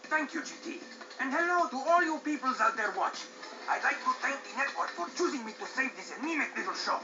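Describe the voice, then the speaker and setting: High, cocky talking bird. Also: male voice, lively intonation, fast. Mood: smug. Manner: brash, self-important, theatrical. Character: cocky talking bird, TV racing color commentator. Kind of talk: animated game cutscene acting. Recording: dull game audio, roomy echo, music behind.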